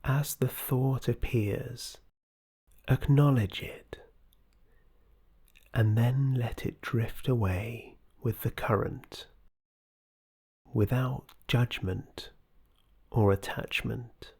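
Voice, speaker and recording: This is a meditation guide with a mellow, dark, breathy voice. The delivery is slow, gentle and scripted, often sinking toward a whisper. It stays soothing and measured throughout. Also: mid-range voice, steady tone.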